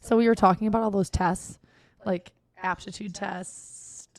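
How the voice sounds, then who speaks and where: A young woman co-hosts a casual podcast. Her voice has a gravelly vocal fry. She chats and laughs freely, slipping into little quoted voices. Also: medium pitch, fairly even intonation, a little slow, darkish tone, no room sound. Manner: chatty, laughs often, acts out quotes. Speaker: podcast co-host. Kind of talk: casual chat.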